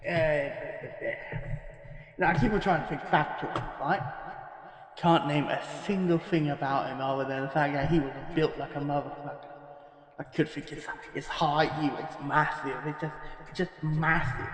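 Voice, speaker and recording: A man's voice: a little high, steady tone, normal speed, close to an everyday voice. A man playing a boastful, foul-mouthed thug giving a rambling statement in a parody horror podcast. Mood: awed, rambling. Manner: rambling, swaggering, sweary, self-interrupting. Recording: roomy echo with long reverb.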